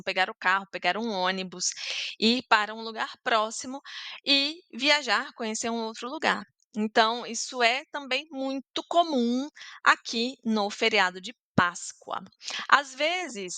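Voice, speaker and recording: A Brazilian woman teaching Portuguese in a chatty, patient way, explaining words slowly and stopping to check facts. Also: a little high, sharp tone, smooth, no echo. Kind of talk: casual language lesson to camera.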